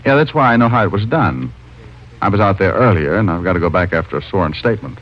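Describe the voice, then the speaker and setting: Lively, wisecracking private eye. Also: male voice, low-pitched, fast talker, exaggerated voice. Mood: breezy. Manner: wry, casual, hesitating with uh. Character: breezy, wisecracking male private detective narrator. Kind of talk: 1950s radio detective acting and narration. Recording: muffled old radio recording with music.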